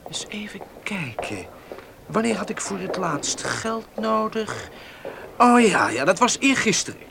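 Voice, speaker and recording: An actor playing a flippant, needling young gentleman with a theatrical, jesting manner in a Dutch radio play. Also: high voice, very animated, a little slow, creaky, exaggerated voice. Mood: breezy, teasing. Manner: feigned jest, needling, theatrical. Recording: noisy radio drama recording with ambience.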